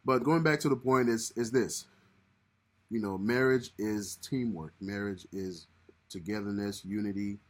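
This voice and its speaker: A man's voice: lowish voice, measured pace. A young man co-hosting a casual livestream with his wife, rambling and repeating himself as he restarts his sentences. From Haiti. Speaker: co-host of a married couple's livestream.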